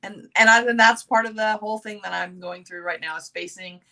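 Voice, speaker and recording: A middle-aged woman with a bright, forward voice, a little raspy from recent yelling. She speaks earnestly over a video call and often stops and restarts her sentences. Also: mid-range voice, fairly even intonation. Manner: halting, earnest, with many restarts. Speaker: sales consultant guest. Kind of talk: personal sharing on a video call.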